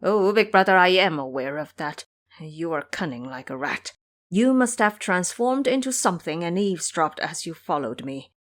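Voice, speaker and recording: A woman's voice: lowish voice, animated, moderate pace, fairly bright tone. A woman voicing a cowardly, wheedling pig-monster disciple in an audio drama, whining and grovelling as he pleads. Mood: sheepish.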